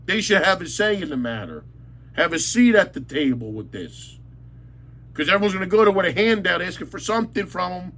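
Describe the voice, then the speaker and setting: Brief: New man, high pitch, blunt angry rant, repeats himself for emphasis. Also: expressive, fairly quick, fairly bright tone. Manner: blunt, insulting, repetitive, emphatic. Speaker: local commentator. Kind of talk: angry ranting monologue.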